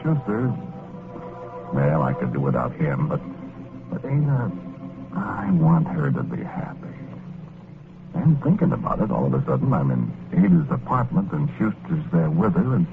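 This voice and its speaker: A man's voice: deep voice, huge pitch swings, normal speed, gravelly, pauses often, full character voice. An actor playing a meek, wistful working-class American ghost, telling his story in rambling slang in 1940s radio drama style. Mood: wistful, resigned. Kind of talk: 1940s radio drama first-person narration. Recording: muffled old radio recording with music. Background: music.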